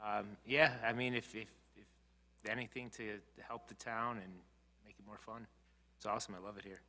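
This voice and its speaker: A man's voice: fairly low pitch, fairly even intonation, normal speed, sharp tone. A man, a commission member, casually introducing himself at a meeting in a hesitant, informal manner. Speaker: commission member, small business owner. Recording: reverberant meeting room.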